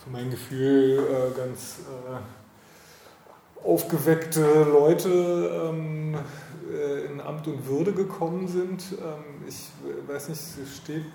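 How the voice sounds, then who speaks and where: A man interviewing someone casually, with a halting, informal way of putting things. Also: a little high, steady tone, creaky, dark tone. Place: echoey space, meeting over coffee. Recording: roomy echo with light ambient noise.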